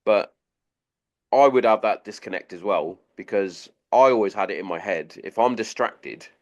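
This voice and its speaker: A man's voice: fairly low pitch, moderate pace, darkish tone, lo-fi sound. An English man, a podcast host and army veteran, chatting casually with frequent restarts, banter and swearing.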